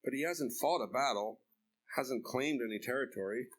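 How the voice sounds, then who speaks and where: A man's voice: mid-range voice, normal speed, lightly acted, low-quality recording. A man playing a skeptical townsman who keeps questioning, in an amateur church dramatic reading. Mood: doubtful. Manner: doubting, questioning.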